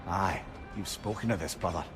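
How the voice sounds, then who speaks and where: A man's voice: sits low, normal speed, over music, close to an everyday voice. A man playing a wry, chatty companion to a warrior in a video game. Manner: wry, familiar. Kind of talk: dramatic video game acting.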